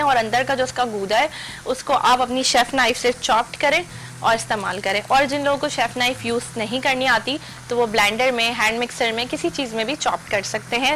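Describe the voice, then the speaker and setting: A young woman with a slightly high voice teaches a recipe step by step on a TV cooking show. She speaks to viewers directly and instructively from a studio kitchen set. Her broadcast recording has steady noise and a sizzling pan behind her. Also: brisk, rough recording. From Pakistan. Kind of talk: TV cooking demonstration.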